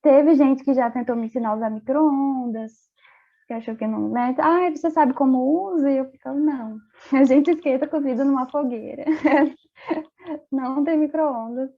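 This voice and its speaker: Young Brazilian woman guest, chatty, laughs a lot, high voice, tinny phone-like sound. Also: moderate pace. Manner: chatty, laughs often, many fillers. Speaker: interview guest, former exchange student. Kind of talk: casual interview chat.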